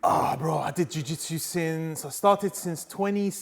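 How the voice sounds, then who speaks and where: Relaxed man in his thirties, says "bro". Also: on the high side, unhurried. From Iran. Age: 30s. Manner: animated, says "bro" often. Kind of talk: casual interview chat. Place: martial arts gym.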